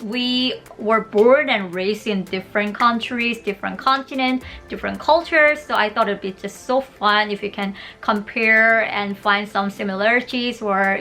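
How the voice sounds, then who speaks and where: A woman from South Korea with a Korean accent, hosting a casual chat vlog in an animated way and laughing often. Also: a little high. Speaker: host.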